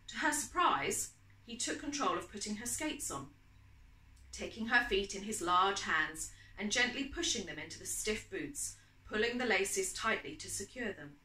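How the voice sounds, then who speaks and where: A woman's voice: medium pitch, sharp tone, echoey room, low-quality recording. A woman author reading romantic fiction aloud in a storytelling style. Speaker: author reading from own novel.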